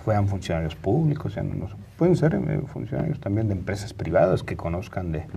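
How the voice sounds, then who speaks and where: A man in his fifties with a Mexican accent and a husky voice, explaining things as a guest in a studio interview. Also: deep voice, big pitch swings, brisk, warm tone, a little hiss or hum. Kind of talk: explanatory panel interview talk. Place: TV studio, indoors, round table. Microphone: lapel mic.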